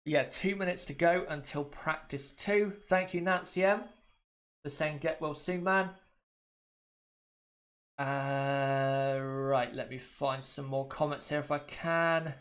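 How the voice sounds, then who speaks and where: A man's voice: fairly high pitch, takes it slowly, fairly bright tone. A man with a bit of a cold, chatting casually to viewers as a livestream host and often repeating his points. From United Kingdom. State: has a cold. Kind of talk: casual watchalong commentary.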